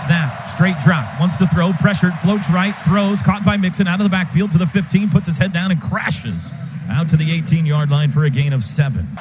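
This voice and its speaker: High-voiced male radio play-by-play caller. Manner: rapid clipped play calls. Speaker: play-by-play announcer. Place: stadium broadcast booth. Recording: noisy stadium radio broadcast.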